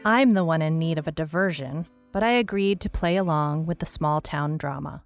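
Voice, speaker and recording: A woman playing a wry, world-weary private detective, narrating her case log in a dry, deadpan noir style. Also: deep voice, moderate pace, faint music behind. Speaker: narrator, lead actor.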